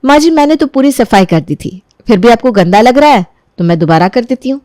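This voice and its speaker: A woman's voice: a little high, lively intonation, darkish tone, no echo, voice pushed up, natural voice. A woman as a firm young daughter-in-law, dry sound. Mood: calm, polite. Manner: firm, measured, polite but defiant. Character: wronged young daughter-in-law, firm, restrained, standing her ground.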